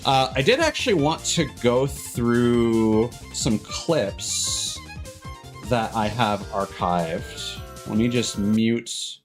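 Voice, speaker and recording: A man's voice: medium pitch, lively intonation, extremely slow. A man chatting casually as he streams a game, rambling and self-deprecating, with some swearing. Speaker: live streamer. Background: cartoonish upbeat game music.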